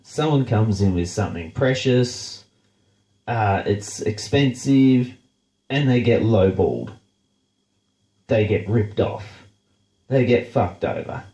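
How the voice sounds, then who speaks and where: A man's voice: on the low side, lively intonation, slow pace, pauses often, dark tone, reverberant, low-quality recording. An Australian man with a blocked, nasal voice, hosting a blunt, sarcastic rant full of swearing. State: congested, nasal from a blocked nose. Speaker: show host. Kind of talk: casual profane rant.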